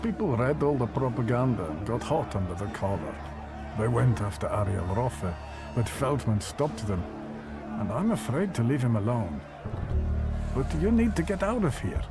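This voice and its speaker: A man's voice: mid-range voice, sing-song, normal speed, music in the background. An actor playing a worried, kindly man in a video game, urgent and cautioning. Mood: anxious warning. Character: worried, weary, kindly man of a troubled neighborhood. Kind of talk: dramatic video game acting.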